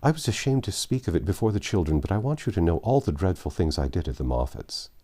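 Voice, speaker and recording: A man's voice: low voice, big pitch swings, quick pace, dark tone. A man voicing an anxious, proud young woman who confesses and plays, in a storybook reading. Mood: confessing.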